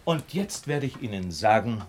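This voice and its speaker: An actor playing a brilliant detective in a German radio play, precise and didactic, with ironic, condescending politeness. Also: male voice, on the low side, sing-song, moderate pace, slight background noise. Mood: emphatic, lecturing. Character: brilliant male detective, superior, calmly lecturing, ironic.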